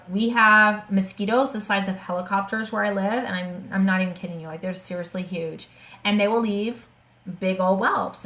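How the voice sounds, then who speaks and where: A hoarse woman chatting eagerly to her viewers on a live stream, her voice at an ordinary middle pitch. She trips over her words and restarts often. The sound rings in an echoey room. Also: fairly bright tone. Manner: enthusiastic, chatty, many restarts and fillers. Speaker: essential oils seller hosting a webinar. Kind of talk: casual live-stream sales talk.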